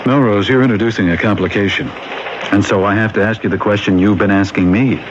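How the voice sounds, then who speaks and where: An actor playing a pragmatic, world-weary police captain in a radio mystery drama, blunt and lecturing. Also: male voice, on the low side, fairly even intonation, fast, lightly acted. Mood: sceptical. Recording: dull, noisy vintage radio broadcast.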